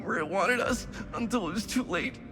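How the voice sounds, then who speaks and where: A man playing a shaken, wounded teenage boy in a horror game, halting and anguished through tears. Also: sits high, expressive. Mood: tearful, shaken. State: crying, in pain. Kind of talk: cinematic horror game acting. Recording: long reverberant space, music behind.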